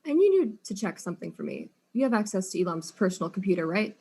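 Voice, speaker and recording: An actress playing a wry, weary detective in an audio drama, speaking casually. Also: female voice, mid-range voice, sing-song, quick pace, a little mellow, slightly rough. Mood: reassuring, wry.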